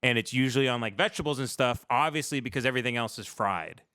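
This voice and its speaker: A man's voice: mid-range voice, quick pace, bright, forceful tone, clean tone, no room sound, clean studio sound. A man hosting a livestream in a casual comedic chat, rambling through irreverent, profane stories. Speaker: livestream show host.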